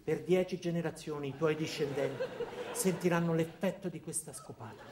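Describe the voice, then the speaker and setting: High-voiced bitter man played by an actor, menacing monologue. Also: noisy background, lightly acted. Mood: menacing, obsessive. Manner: theatrical, deliberate, swinging from loud to hushed. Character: bitter ordinary man turned cruel and menacing. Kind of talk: dramatic first-person radio monologue.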